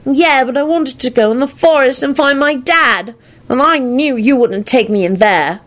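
A woman's voice: high voice, moderate pace, fairly bright tone, voice pushed up. A woman voicing a whiny, pouty six-year-old boy. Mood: earnest. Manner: whining, gloomy, pouty.

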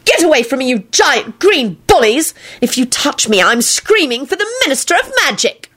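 Huffy young storybook heroine, acted by a female reader: indignant, outspoken, highly animated. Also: unhurried. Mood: shrill alarm.

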